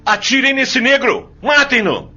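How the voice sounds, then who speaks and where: Man acting a high, forceful slave master. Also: sing-song, measured pace, husky, heavily acted. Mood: panicked fury. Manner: shouting commands. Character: cruel, furious slave-owning plantation master. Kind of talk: audio drama acting. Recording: dull, lo-fi recording with music.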